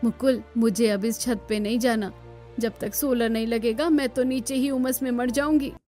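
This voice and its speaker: A woman's voice: fairly high pitch, fairly even intonation, moderate pace, mellow, soft background music, natural voice. A woman voicing a spirited, outspoken young village daughter-in-law in a cartoon. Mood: indignant, sulky. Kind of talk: Hindi cartoon dialogue acting.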